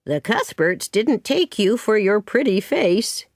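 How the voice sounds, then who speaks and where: A woman voicing a blunt, judgmental older village woman in an audiobook story, disapproving and deliberate. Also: lowish voice, wildly swooping pitch, dry recording, clean studio sound, heavily acted.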